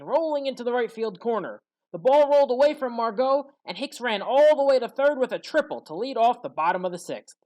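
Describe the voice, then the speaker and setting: Woman narrating scripted sports highlights. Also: darkish tone. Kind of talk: scripted play-by-play recap narration.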